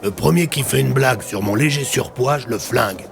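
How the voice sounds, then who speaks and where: Man playing a gruff, creaky squad member. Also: mid-range voice, brisk, noisy background, pitched above the performer's own voice, close to an everyday voice. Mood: dry. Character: gruff, decisive men of an adventure squad. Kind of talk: audio fiction character voice.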